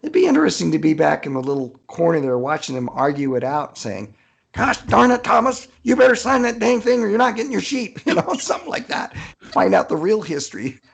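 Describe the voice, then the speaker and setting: A man with a gravelly, husky voice answering casually in an interview as an author, chatty and thinking aloud. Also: high voice, very animated, a little fast.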